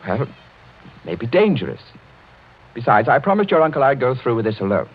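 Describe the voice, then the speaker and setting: An actor playing a brave but edgy young lord in a radio mystery, speaking in a clipped, defiant way. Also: male voice, medium pitch, very animated, a little fast. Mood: nervous bravado. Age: young adult. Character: brave, brusque young English lord on edge. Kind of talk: 1940s radio mystery acting. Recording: muffled, noisy 1940s radio recording.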